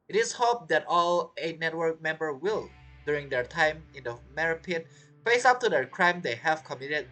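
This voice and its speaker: Animated, bright-voiced male game streamer. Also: on the high side, low-quality recording. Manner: chatty, reacting to the game. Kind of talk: casual playthrough commentary, reading game text aloud.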